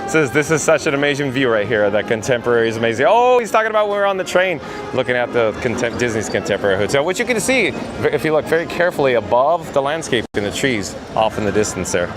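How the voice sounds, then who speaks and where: A hoarse man with a raspy voice hosting a live theme park vlog outdoors, chatting and reading viewer comments aloud. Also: medium pitch, sing-song, quick pace, music in the background. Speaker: livestream host.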